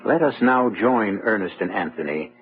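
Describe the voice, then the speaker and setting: A tinny old radio broadcast with clear music behind a man narrating drama. His voice is low, ominous and theatrical as host. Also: expressive. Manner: ominous, theatrical, deliberate phrasing.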